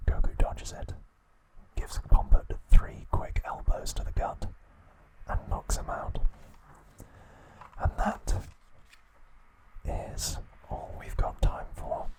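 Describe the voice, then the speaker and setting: Man, soft unvoiced whisper, ASMR host, scripted narration, measured soothing bedtime delivery. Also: rough recording.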